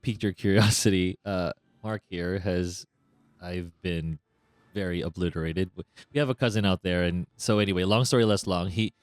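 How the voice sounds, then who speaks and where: A man's voice: deep voice, moderate pace, smooth, dry recording. A man co-hosting a podcast in casual chat, making dry teasing quips and laughing along. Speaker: podcast co-host.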